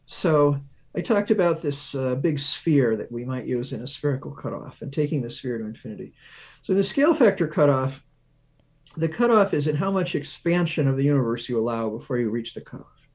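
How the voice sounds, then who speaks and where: Man, slightly high-pitched voice, academic interview guest, deliberate explanatory delivery, emphasis on key words. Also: darkish tone. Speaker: guest research professor, physicist.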